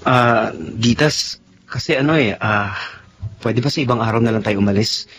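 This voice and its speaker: A man's voice: mid-range voice, moderate pace, fairly bright tone, slight background noise, lightly acted. A man with a Filipino accent playing a generous, apologetic restaurant owner and friend in a radio drama, speaking in a reassuring, conversational way. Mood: apologetic, hesitant.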